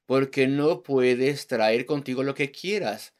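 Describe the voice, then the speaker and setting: A man's voice: mid-range voice, slow pace, darkish tone. A man preaching an evangelistic sermon, driving his points home with rhetorical questions and repeated words in lists.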